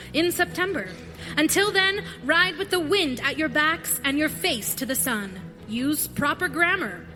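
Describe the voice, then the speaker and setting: High, lively woman announcer over loud music. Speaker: show announcer. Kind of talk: scripted sign-off at live radio theater. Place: theater stage.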